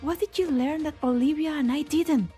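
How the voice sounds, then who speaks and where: A woman voicing a spirited, quick-thinking young researcher in an amateur fan dub, with an expressive, questioning delivery. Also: high-pitched, faint music behind, close to an everyday voice. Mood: puzzled, urgent.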